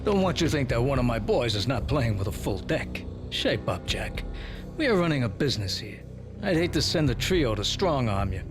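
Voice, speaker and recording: A menacing mafia don acted by a man delivers pointed, condescending threats in a noir game. His mid-range voice swings widely in pitch, with music clearly behind it. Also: sing-song, moderate pace, close to an everyday voice. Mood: stern threat. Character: threatening male mafia don in a read letter. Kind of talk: video game voice acting.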